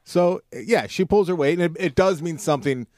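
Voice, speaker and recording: A man's voice: fairly high pitch, moderate pace, a little mellow, dry recording, studio quality. A man co-hosting a casual talk show, joking and wisecracking. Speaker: co-host.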